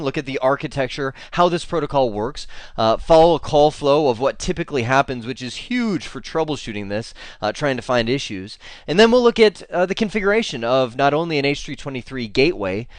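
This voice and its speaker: A man's voice: mid-range voice, big pitch swings, brisk, dry recording. A man with a stuffy cold giving a chatty screencast tech lesson, full of fillers and bursts of enthusiasm. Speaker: instructor.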